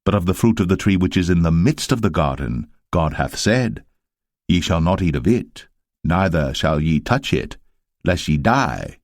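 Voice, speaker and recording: A man's voice: deep voice, expressive, moderate pace, dry recording. An innocent first woman voiced by a man answers in an earnest, plain way. The scripture drama has a dry, close sound.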